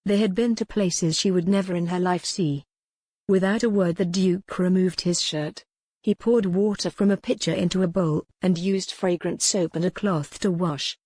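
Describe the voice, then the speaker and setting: A woman's voice: on the low side, darkish tone, dry recording. A woman reading scripted historical romance narration as an audiobook narrator.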